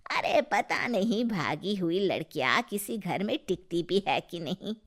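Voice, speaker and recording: A woman's voice: mid-range voice, very animated, measured pace. A woman voicing a gossipy, sneering middle-aged aunt in a cartoon story, catty and exaggerated. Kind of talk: animated story acting.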